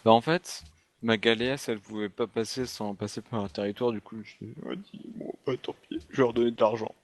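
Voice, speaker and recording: A man's voice: medium pitch, steady tone, a little fast. A man from France playing a multiplayer game with a friend, chatting and joking playfully. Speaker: co-player. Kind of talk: casual gaming chat.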